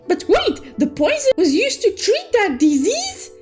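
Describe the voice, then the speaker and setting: A woman telling a game story recap as a childlike, excitable cartoon unicorn, playful and full of exclamations, with music behind her. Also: very high-pitched, measured pace. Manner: playful, exclamatory, cutesy third-person persona. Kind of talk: animated storytelling recap with sound-effect exclamations. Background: background music.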